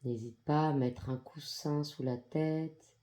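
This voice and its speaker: A yoga nidra guide with an androgynous voice leads a guided meditation in a calm, soothing, measured manner with long pauses. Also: mid-range voice. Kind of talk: guided meditation, soft instructional narration.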